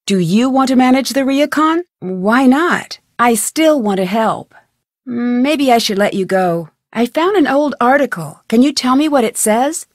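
Casting: young female sleuth in a mystery game; higher-pitched, curious, determined, questioning delivery, close dry recording. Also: expressive, a little slow, dry recording. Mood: curious, polite. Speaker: video game voice actress. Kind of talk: scripted game dialogue, conversational questions.

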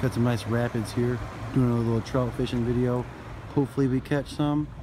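A man's voice: medium pitch, fairly even intonation. A man casually talking to viewers while fishing on a riverside dock. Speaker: fishing vlogger. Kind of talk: casual outdoor fishing vlog. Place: outdoors on a riverside dock by rapids. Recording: outdoor camera audio with loud river noise. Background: rushing river water.

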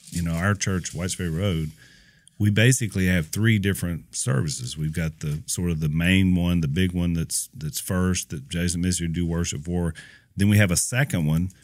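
A middle-aged man with a very low voice talks energetically on a podcast, thinking aloud and restarting his sentences. He is close on a studio mic, and the sound is dry with no room echo. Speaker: podcast co-host and preacher. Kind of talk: casual conversation. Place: small dark studio room. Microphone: close studio mic with headphones.

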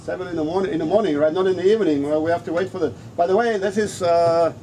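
A man's voice: high voice, quick pace, mellow. A middle-aged man from Africa, a non-native English speaker, talking casually to camera as a teacher and repeating words for emphasis. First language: not English, his third language. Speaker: English teacher and trainer, vlogger. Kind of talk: casual vlog talk to camera. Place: open-sided resto-inn in the Philippines. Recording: noisy open-air ambience behind voice.

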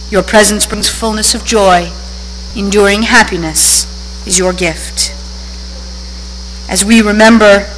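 An older woman officiant giving a steady, solemn reading of psalms and scripture at a lectern in a synagogue sanctuary. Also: medium pitch. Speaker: service officiant. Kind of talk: liturgical reading. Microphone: lectern microphone. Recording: reverberant hall with faint hum.

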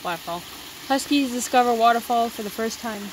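A woman's voice: fairly high pitch, brisk, a little hiss or hum, poor audio. Amused woman filming her dogs outside. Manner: amused, chatty, laughs often. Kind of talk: casual narration behind the camera. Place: outdoors in a backyard by a pool.

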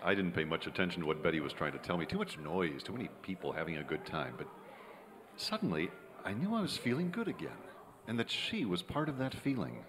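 Casting: wry, cynical struggling writer, lowish and animated, radio play on a reverberant stage. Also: male voice, brisk. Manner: sardonic. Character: cynical, wry struggling Hollywood screenwriter man. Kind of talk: old-time radio drama acting and narration. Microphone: stand microphone on stage. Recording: live theater stage, long reverb.

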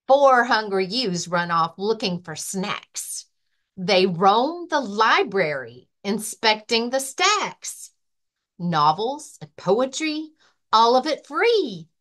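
A woman reads a children's picture book aloud in a story-time style. Her pitch swings in a lively way across the rhymes, and she takes the verse at an unhurried, rhythmic pace. Her voice sits in a middle register for a woman. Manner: expressive, rhythmic reading of rhyming verse. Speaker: librarian and story-time reader.

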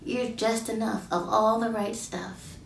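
Higher-voiced woman reading in a roomy space. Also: gravelly. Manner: expressive, drawn-out emphasis, questions to young listeners. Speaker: children's book author reading aloud. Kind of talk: picture-book read-aloud for children. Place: small plain indoor room. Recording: roomy, distant mic, faint background noise.

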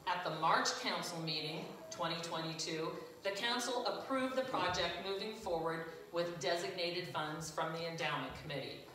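An older woman reading church council announcements from her notes, loud and matter-of-fact, her voice a little low for a woman. She was picked up by a far-off camera in a large church, so the sound is very echoey and distant, with a clear hiss underneath. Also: normal speed, hardly any pauses. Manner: loud, plain reading with a self-deprecating aside. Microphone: far camera at the back.

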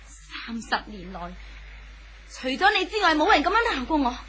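A volatile, high-voiced spurned wife. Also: female voice, big pitch swings, normal speed, sharp tone, exaggerated voice. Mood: bitter, despairing. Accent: Hong Kong Cantonese. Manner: impassioned, dramatic, brittle. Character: spurned, jealous, bitterly despairing wife, volatile and possessive. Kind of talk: 1970s Cantonese radio drama acting. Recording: faint hiss on old radio recording.

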